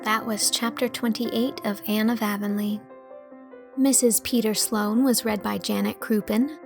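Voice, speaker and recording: A woman reads as an audiobook narrator, telling the story from a script. Her voice is mid-pitched, with music behind it. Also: steady tone, unhurried, creaky.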